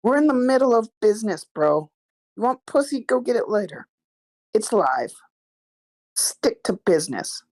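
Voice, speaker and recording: A woman's voice: on the high side, big pitch swings, moderate pace, pauses often, a little mellow. Woman reading as a tough, blunt female gangster. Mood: curt, commanding. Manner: blunt, sweary street slang. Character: tough, curt nightclub woman gangster giving orders. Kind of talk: live screenplay table read.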